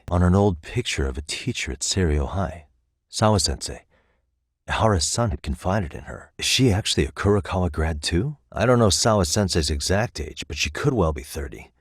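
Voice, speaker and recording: Earnest video game detective: low, husky voice, measured and matter-of-fact as he reasons things out. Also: dry recording, clean studio sound. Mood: thoughtful. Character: earnest, analytical male detective reasoning through a case. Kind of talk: English video game voice acting, conversational deduction.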